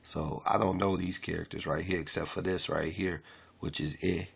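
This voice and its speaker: A man casually streaming as he works through a language lesson, rambling with fillers and reading aloud haltingly with restarts. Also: low-pitched, flat delivery, vocal fry, breathy edge. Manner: rambling, loose and unpolished, with many fillers. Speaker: self-taught learner reading a lesson. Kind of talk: casual screen-share stream.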